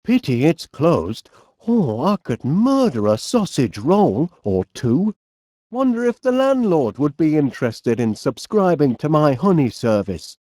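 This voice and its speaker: An actor playing a fussy, absent-minded middle-aged inventor with a Northern English accent, politely musing aloud in an animated comedy game. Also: male voice, on the high side, a little slow, no room sound, studio quality.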